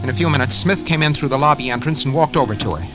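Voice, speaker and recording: A man's voice: medium pitch, very fast, close to an everyday voice. Man playing a nervous hardboiled schemer, old-time radio. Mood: tense, confiding. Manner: hardboiled slangy first-person storytelling. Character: nervous, guilty schemer narrating his own murder plot. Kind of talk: 1940s radio crime drama acting. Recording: vintage 1940s radio recording, music behind.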